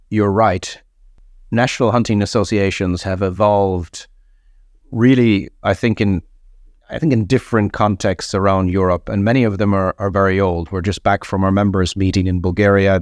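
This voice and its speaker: Man, interview guest, low pitch, slightly husky from a cold, measured, explanatory. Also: steady tone, dry recording, clean studio sound. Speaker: guest, head of a hunting federation. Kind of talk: interview answers.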